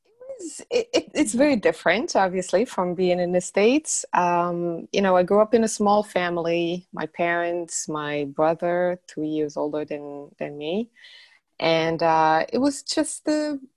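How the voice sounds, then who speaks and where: A woman from Morocco in her forties with a lower voice, speaking as an interview guest. She talks in a relaxed, thoughtful way with small laughs, and her voice sounds close and dry. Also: unhurried, no echo. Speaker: guest, life coach. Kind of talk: casual interview chat.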